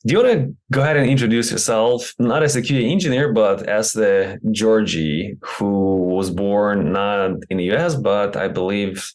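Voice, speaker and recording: A man's voice: lowish voice, normal speed, hardly any pauses, darkish tone. A man hosting an interview in a conversational style, introducing his guest and putting questions to him. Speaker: interview host.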